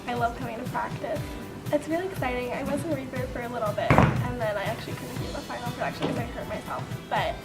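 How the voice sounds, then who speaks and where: A woman's voice: fairly high pitch, quick pace. A young woman, an actor, chatting casually in an interview. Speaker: student actor. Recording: loud music over voice. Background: loud background music.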